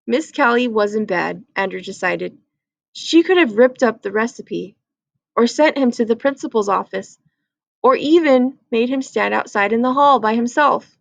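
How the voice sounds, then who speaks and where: A woman's voice: fairly high pitch, gravelly, no room sound, muffled recording. A woman reading a children's book aloud as a storyteller.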